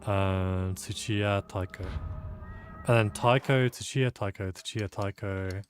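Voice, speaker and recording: A man's voice: lowish voice, slow pace, faint music behind. A man streaming a game, thinking aloud about a puzzle in a casual, sleepy, self-deprecating way. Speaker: gameplay streamer. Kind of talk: casual let's-play commentary.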